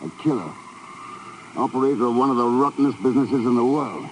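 An actor playing a cool, commanding secret agent in a 1950s radio drama, speaking in a dry, clipped, self-assured way. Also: male voice, medium pitch, fast, close to an everyday voice. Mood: tense, commanding. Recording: noisy vintage 1950s radio recording.

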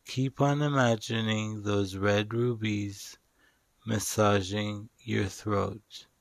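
Guy doing a guided meditation, really slow and low, calm, lots of long pauses, dry sound. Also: dry recording. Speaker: meditation guide and show host.

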